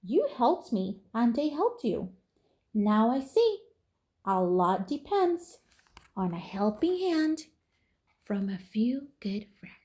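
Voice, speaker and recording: A woman's voice: on the high side, very animated, takes it slowly, warm tone. A woman voicing a big, self-important dump truck with a pompous, honking delivery in a children's storybook. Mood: grateful.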